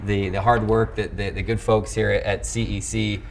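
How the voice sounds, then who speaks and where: A man hosting a sports show, speaking in a somewhat halting way with repeated words. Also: lowish voice, little pitch movement, creaky, slight background noise. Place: studio set.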